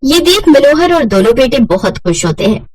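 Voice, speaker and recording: A woman's voice: high-pitched, sing-song, normal speed. A woman narrating a scripted moral story as its storyteller.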